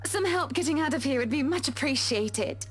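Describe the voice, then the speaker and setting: A refined, composed woman from a visual novel game, as voiced by a woman. Her speech is formal and courteous. Her voice sits high. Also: animated, normal speed. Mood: gracious.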